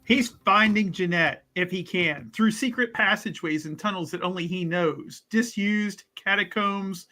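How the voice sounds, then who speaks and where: Bright, higher-pitched male tabletop player. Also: a little slow, hardly any pauses. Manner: jokey, theatrical phrasing, chuckles often. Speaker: player in a tabletop roleplaying game. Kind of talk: casual, animated game chat.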